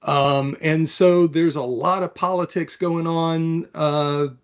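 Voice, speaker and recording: A man, an author, talking about and reading from his own fantasy novel in a thoughtful, measured manner. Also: on the high side, fairly even intonation, clean tone. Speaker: author, interview guest.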